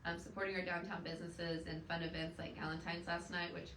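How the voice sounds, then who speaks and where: A soft-voiced woman with an Oklahoma accent and a scratchy throat talks with upbeat enthusiasm on a local news show. Her pitch is on the low side, and she sounds a little off-mic, with roomy echo and a faint hum. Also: little pitch movement, fast, distant mic. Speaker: city representative co-host. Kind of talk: casual live news chat.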